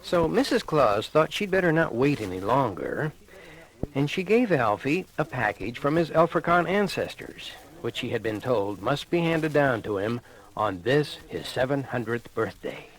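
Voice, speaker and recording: A man narrating a children's Christmas read-along record in an animated, conversational way, addressing the listener with dramatic pauses, on a vintage 1980 vinyl recording. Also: mid-range voice, rough recording. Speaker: storyteller. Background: music.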